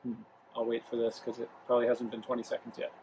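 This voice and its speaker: A man's voice: medium pitch, completely flat delivery, fast, a little mellow, a little hiss or hum, poor audio. A young man from Rhode Island, hosting a casual home product review vlog, talking loosely with lots of fillers and restarts. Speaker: travel vlog host. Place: home, living room near the kitchen.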